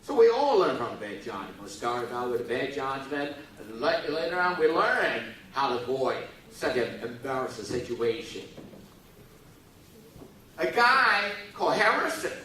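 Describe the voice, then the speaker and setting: A bright, animated middle-aged man, joking and teasing his way through a speech to a youthful crowd. His voice swings widely in pitch as he coaxes the audience to answer back. It rings through a big echoey hall, heard from some distance, with a faint hum of room noise. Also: a little slow. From Taiwan. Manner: playful, teasing, prods the audience to respond. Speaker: speaker at a youth forum.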